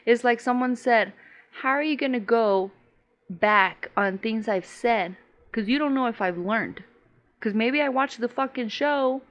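A woman's voice: a little high, a bit bright, dull audio. A woman co-hosting a podcast in casual chat, with giggly, crude banter. English is not her first language. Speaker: podcast co-host.

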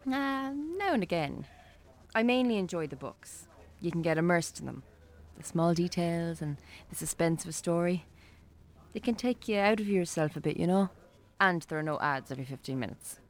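An actress with an Irish accent playing a friendly, composed, bookish shop worker in a naturalistic radio drama. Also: female voice, lowish voice, expressive, moderate pace, close to an everyday voice.

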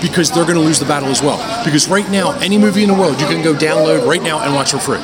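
A loud, boisterous older man co-hosting a casual chat, blunt and in-your-face, recorded outdoors at a convention with noise behind him. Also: on the high side, racing, a loud crowd. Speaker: podcast co-host.

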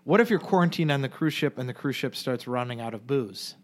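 A man's voice: mid-range voice, animated, fairly quick. A man co-hosting a casual podcast chat, joking and rambling with frequent restarts. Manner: jokey, rambling, restarts often. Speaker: podcast co-host. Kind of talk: casual banter.

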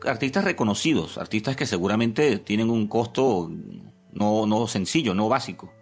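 A man's voice: on the low side, lively intonation, normal speed. A Venezuelan-accented man in his forties in casual podcast conversation, hesitating and searching for words. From born in Peru, living in Venezuela since his teens. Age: 40s. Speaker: podcast co-host.

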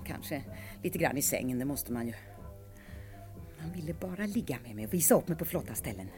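An actress playing a bitter, lonely woman of forty-three in an intense radio monologue, swinging between hushed dread and outbursts. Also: low voice, expressive, faint music behind. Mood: bitter, wistful. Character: bitter, lonely 43-year-old woman, self-pitying and raging. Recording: archive radio recording with background noise.

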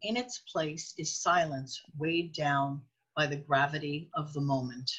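A woman's voice: sits low, measured pace, a bit bright, slightly rough, rough recording. A woman narrating a scripted radio drama.

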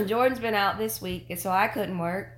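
A woman co-hosting and producing a casual podcast, raspy from a cold and speaking in a dry, wry way. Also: medium pitch, moderate pace, a bit bright, reverberant. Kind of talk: casual chat. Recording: faint background hum.

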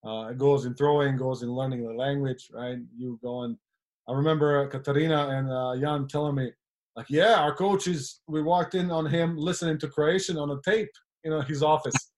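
A man's voice: a little high, steady tone, normal speed. A man, a coach, chatting casually and often ending his points with a question. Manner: talkative, ends points with "right?". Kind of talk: casual podcast chat.

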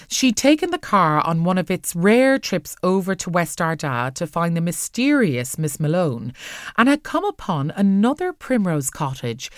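Expressive, lowish-voiced woman narrating. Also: no echo, clean studio sound. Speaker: audiobook narrator. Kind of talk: expressive scripted story narration.

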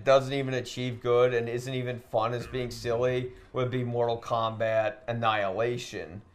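A man co-hosting a casual podcast chat, giving blunt, dismissive opinions and swearing freely while playing a game. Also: medium pitch. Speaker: podcast co-host.

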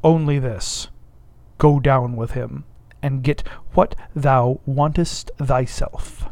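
A man reading aloud and voicing a calm, stern first mate who speaks in short measured phrases. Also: mid-range voice, creaky, dry recording. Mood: terse.

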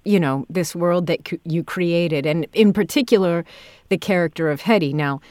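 A woman's voice: lowish voice, moderate pace, a little mellow, no echo, clean studio sound. A woman chatting casually as a podcast interviewer, feeling her way through phrases. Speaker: podcast interviewer, audio drama director and actor.

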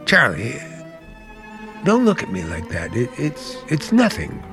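An actor playing a charming but secretive and bitter uncle in an old-time radio drama, hesitant one moment and sharp the next. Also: male voice, medium pitch, huge pitch swings, a little slow, vocal fry, music in the background, exaggerated voice. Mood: flustered, evasive.

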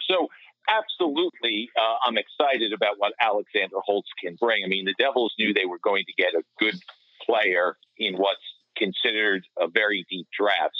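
Low-voiced man on a dull line. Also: no echo. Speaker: hockey radio play-by-play announcer, podcast panelist. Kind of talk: conversational sports analysis. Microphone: remote call.

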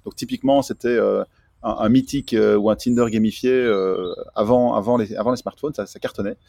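A man's voice: fairly low pitch, fairly even intonation, brisk, gravelly, warm tone, dry recording, studio quality. A man in his forties from Belgium, talking casually and explaining things as a podcast guest, with English marketing terms mixed in. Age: 40s. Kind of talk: casual interview talk. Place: outdoors, remote connection.

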